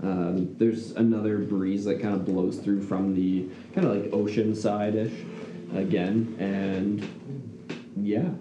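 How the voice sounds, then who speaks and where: A man's voice: on the low side, flat delivery, slow pace. A man acting as game master, narrating casually and loosely as he improvises, with hesitations. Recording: roomy recording with faint noise.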